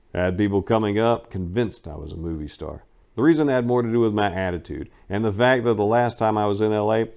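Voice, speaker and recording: A man's voice: lowish voice, darkish tone, clear, resonant tone. A man giving a scripted dramatic reading of a first-person memoir, as an audiobook narrator. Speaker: audiobook reader.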